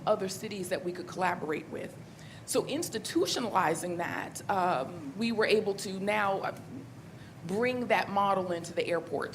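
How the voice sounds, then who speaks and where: A woman speaks on a conference panel as a city government official, at an average pitch for a woman. Her delivery is measured and businesslike. She pauses on frequent ums as she walks through programs and partnerships. Soft background noise runs beneath her voice.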